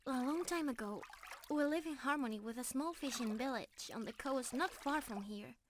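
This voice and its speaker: A woman's voice: high voice, normal speed, a little hiss or hum, natural voice. A woman playing a shy, sweet young mermaid in love, speaking earnestly to one listener in an ASMR romance roleplay. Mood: gentle, explaining. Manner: earnest, bashful, explaining gently to one listener.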